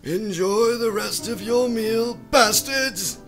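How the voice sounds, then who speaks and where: A man's voice: sits high, slow pace, fairly bright tone, soft background music. An actor playing a sickly, bitter and imperious old rich man in a comic radio play, with a theatrical, sputtering delivery. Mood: dramatic, bitter.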